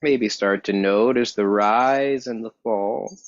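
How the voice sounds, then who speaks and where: Guy teaching yoga meditation, calm n really slow, lots of pauses, kinda muffled dry recording. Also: lowish voice, no echo. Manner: calm, measured, with pauses between short phrases. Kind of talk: guided yoga meditation instruction. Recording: dull, muffled lo-fi sound.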